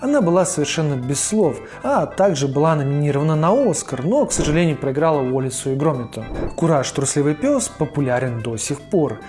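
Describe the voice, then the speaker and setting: A man's voice: on the high side, animated, fairly quick, hardly any pauses, a little mellow, faint music behind. A man narrating an informal scripted video essay as its host, in a colloquial, opinionated manner. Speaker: host of a cartoon retrospective video.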